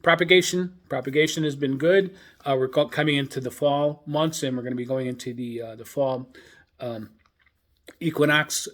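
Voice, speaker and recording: A man hosting a hobbyist radio news show in an informal, halting, hesitant manner. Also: mid-range voice.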